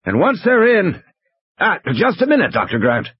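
A man voicing a gruff, injured game warden, blunt and urgent, in dramatized audiobook dialogue. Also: sits high, wildly swooping pitch, moderate pace, no echo, muffled recording, voice pushed up, full character voice. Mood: grim, urgent.

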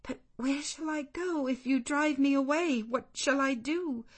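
A woman's voice: mid-range voice, unhurried, slightly rough, voice pushed up. A woman voicing a desperate young woman wanderer who pleads for shelter. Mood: pleading.

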